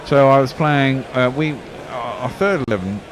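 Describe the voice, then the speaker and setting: A man's voice: mid-range voice, normal speed. A man giving casual ball-by-ball radio commentary on cricket, rambling into anecdotes between deliveries. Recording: background noise.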